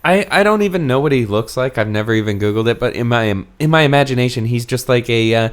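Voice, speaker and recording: Guy doing casual podcast banter, medium pitched voice, wry and sweary. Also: animated, quick pace. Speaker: podcast host.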